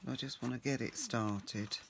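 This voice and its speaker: A man with a croaky voice, chatting casually to himself while he works at a home craft table as host of his crafting channel. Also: medium pitch, steady tone, moderate pace, background noise.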